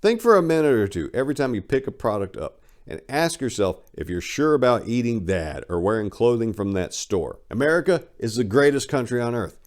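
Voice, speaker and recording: A man's voice: mid-range voice, very animated, fairly quick, hardly any pauses. A man giving a dramatic reading of a satirical monologue in a sarcastic, opinionated, rhetorical manner. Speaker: narrator reading a book aloud.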